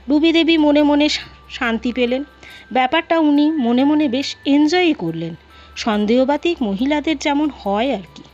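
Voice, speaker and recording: A woman with a high voice reading a story aloud in an expressive, dramatized narration. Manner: expressive, acts out dialogue. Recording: dull lo-fi audio, music behind. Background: background music.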